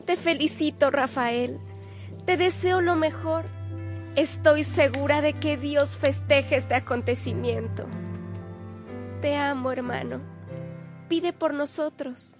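An actress playing a devoted, affectionate sister in a radio drama, with a tender, solemn, measured delivery. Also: female voice, high voice, music in the background. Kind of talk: Spanish-language radio drama acting.

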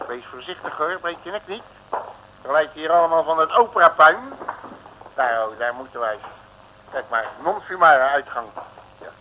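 An actor playing a brash, jovial Dutch detective with colloquial, wisecracking bluster in an old radio comedy serial. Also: male voice, fairly high pitch, animated, normal speed, highs cut off, lightly acted. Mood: relieved, sly. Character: brash, jovial, scrappy male detective, street-smart joker. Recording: noisy old radio recording.

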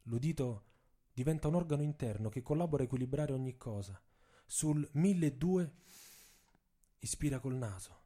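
A man's voice: medium pitch, lively intonation, moderate pace, long pauses, mellow. A man narrating radio theatre as a dramatic scripted story, with a theatrical, intense delivery.

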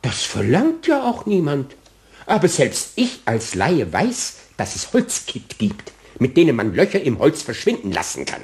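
Fussy, self-important gentleman customer, stilted and long-winded, hugely varied intonation, children's radio drama. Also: medium pitch, wildly swooping pitch, unhurried. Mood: fault-finding. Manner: stilted, circumlocutory, pompous. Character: fussy, pompous, demanding male customer. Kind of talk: German children's radio play.

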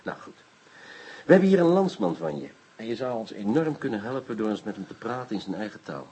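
Blustering, excitable police inspector. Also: male voice, on the low side, fairly quick, slightly rough. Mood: firm, businesslike. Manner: blustering, exclamatory, commanding. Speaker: actor in a radio play. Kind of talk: Dutch radio drama acting.

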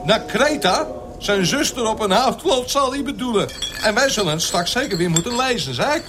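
An actor playing an excitable, coarse airline passenger who scoffs and exclaims in a radio play. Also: male voice, high voice, moderate pace, over music. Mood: scoffing. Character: shrill, excitable, mocking male airline passenger, coarse chum.

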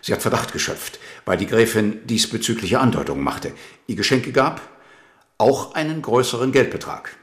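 An actor playing a scheming court minister in a radio drama, urgent and deferential. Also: male voice, medium pitch, lively intonation, normal speed, natural voice. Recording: roomy reverb.

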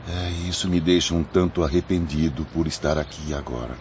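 A man's voice: rumbling low, fairly quick, darkish tone, a little hiss or hum. An actor in a radio drama playing a timid, regretful, homesick male spirit with a wistful manner. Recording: muffled lo-fi, faint background ambience.

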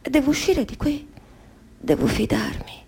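An actress playing an anxious, confined woman in a radio drama, speaking in hesitant fragments with a breathy, husky voice. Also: sits high, sing-song, unhurried, mellow, heavily acted. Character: anxious confined woman facing a frightening decision. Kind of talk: radio drama inner monologue.

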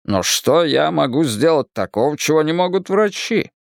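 Man acting stern, exasperated father, animated delivery. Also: a little high, normal speed, vocal fry, no room sound, studio quality, voice pushed up. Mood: defensive, weary. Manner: firm, blunt, commanding. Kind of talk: dramatised audiobook acting.

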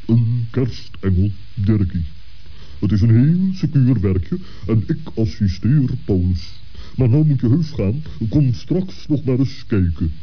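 A man's voice: medium pitch, expressive, unhurried, slightly rough. A man playing a solemn, unflappable owl who serves as a nurse, speaking in a stately, matter-of-fact way in a 1960s children's radio play. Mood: solemn, businesslike. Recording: dull vintage lo-fi recording.

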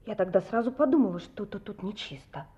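A woman's voice: medium pitch, expressive, fairly quick, mellow, highs cut off, natural voice. An actress playing a young working woman, an uneasy but candid witness in an audio drama.

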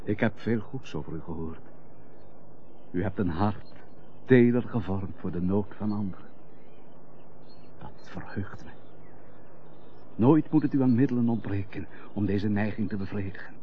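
An actor playing a noble, melancholy betrayed husband, grave and formal in an old melodramatic radio drama. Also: male voice, on the low side, moderate pace, pauses often, natural voice. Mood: tender, restrained. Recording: muffled old radio recording, faint hiss.

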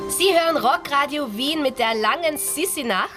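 A woman's voice: high-pitched, lively intonation, normal speed, fairly bright tone, soft background music. A woman playing a gushing, breezy rock radio host in a radio play. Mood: upbeat. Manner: gushing, exclamatory. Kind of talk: upbeat rock radio presenting.

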